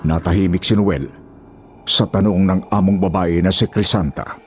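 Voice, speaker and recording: A man with a husky voice giving scripted narration for a horror story. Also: deep voice, steady tone, moderate pace, darkish tone, faint music behind.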